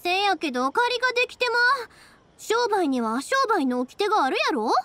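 An actress playing a cheerful, big-hearted young fireworks maker in an anime game, speaking with a Kansai accent. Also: female voice, extremely high pitch, moderate pace, no room sound, heavily acted. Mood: earnest. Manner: lively, friendly, expressive dialect talk. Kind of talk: Japanese anime game voice acting.

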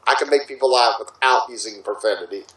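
A man's voice: mid-range voice, fast, sharp tone, low-quality recording. A man, an amateur director and voice actor, chatting in a jokey way on an online voice call. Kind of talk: casual chat.

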